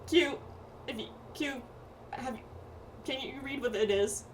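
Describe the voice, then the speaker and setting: High-voiced, playful woman game streamer. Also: a little slow. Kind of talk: casual playthrough commentary with friends. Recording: faint background noise.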